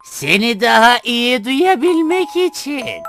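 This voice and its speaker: A woman voicing a sly wolf in a children's fairy tale cartoon, coaxing slowly as it poses as a sweet grandmother. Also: on the high side, sing-song, a bit bright, soft background music, exaggerated voice. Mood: coaxing, menacing. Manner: coaxing, slow, drawn-out delivery.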